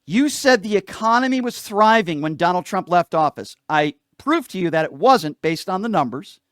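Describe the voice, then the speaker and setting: A man's voice: high-pitched, lively intonation, moderate pace, a bit bright, no echo, studio quality. A man hosting a live call-in show, debating politics in an argumentative, insistent way and repeating phrases for emphasis.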